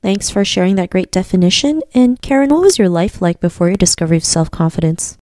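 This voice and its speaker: A woman's voice: medium pitch, animated, fast talker, warm tone. A woman hosting a podcast, greeting listeners and asking interview questions in an upbeat, formulaic way. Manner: upbeat, formulaic, repeats "thanks for sharing that". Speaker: podcast host.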